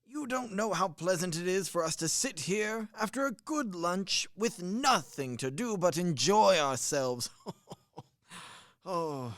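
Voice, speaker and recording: Man as hearty old army major, exclamatory, very animated pitch, dry close recording, radio comedy husband. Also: slow pace, dry recording, heavily acted. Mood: genial, contented. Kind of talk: stage-style radio play acting.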